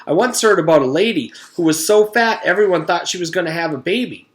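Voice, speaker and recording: A man reading a children's storybook, voicing an excitable, chatty schoolboy in an eager, emphatic way. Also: high-pitched, fast talker, voice pushed up, close to an everyday voice. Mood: excited boasting. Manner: eager, emphatic, groaning for attention. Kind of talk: children's storybook read-aloud.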